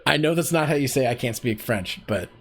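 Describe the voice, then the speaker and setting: A man's voice: medium pitch, expressive, a little fast, a bit bright. A man hosting a casual voice acting workshop on a livestream, chatty and self-deprecating, often laughing at himself.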